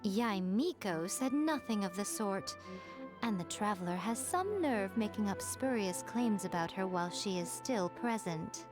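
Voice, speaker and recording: A woman's voice: medium pitch, expressive, measured pace, close to an everyday voice. Sly, teasing shrine priestess played by a woman. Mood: veiled threat. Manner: playful, knowing, smooth-talking. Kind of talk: scripted video game voice acting. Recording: clear game music behind. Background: game music.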